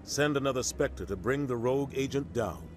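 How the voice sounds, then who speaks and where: An actor voicing a seasoned, pragmatic military officer in a video game, explaining with measured authority. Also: male voice, medium pitch, faint music behind, lightly acted. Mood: grave, measured. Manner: matter-of-fact, authoritative explaining.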